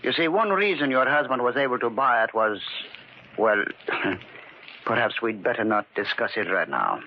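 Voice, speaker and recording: A man plays a grave antiquities dealer who speaks in measured, solemn tones with an expressive rise and fall. The recording is dull and muffled, like an old radio broadcast with faint hiss. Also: mid-range voice, natural voice. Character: grave middle-aged Egyptology dealer. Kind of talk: 1940s radio acting.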